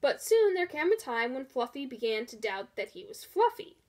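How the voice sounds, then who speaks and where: A woman reading a children's picture book aloud in an expressive storytelling style. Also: high voice, normal speed. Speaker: storybook reader.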